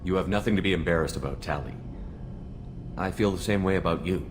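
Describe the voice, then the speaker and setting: An actor playing a steady, plain-spoken military commander in a sci-fi game. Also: male voice, low voice, fast, background noise, lightly acted. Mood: steady, reassuring. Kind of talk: cinematic sci-fi game acting. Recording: loud music behind.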